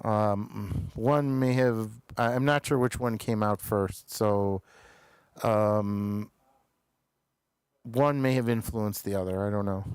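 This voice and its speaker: A man hosting a casual review show, halting as he searches for words. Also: lowish voice, smooth, dry recording, studio quality. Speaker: talk show host reviewing TV episodes.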